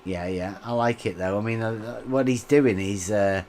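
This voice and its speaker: A man's voice: on the low side, moderate pace, darkish tone, slight background noise. A man co-hosting a livestream, chatting casually and chuckling as he reads out viewer comments. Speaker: livestream co-host.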